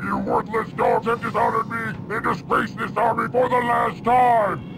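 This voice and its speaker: An actor playing a brutal, contemptuous reptilian army soldier in a video game, with a growling, menacing delivery. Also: male voice, very high-pitched, little pitch movement, measured pace, noisy background, heavily acted. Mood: furious, shouting. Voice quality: gravelly, growling. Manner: menacing, barking. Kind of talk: video game cutscene acting. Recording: game audio with music underneath.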